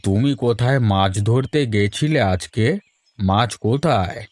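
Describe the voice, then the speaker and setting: A man's voice: lowish voice, takes it slowly, darkish tone, no room sound. A man voicing a grumbling, poor old village husband in a cartoon. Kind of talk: Bengali village cartoon dubbing. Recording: faint music behind the voice.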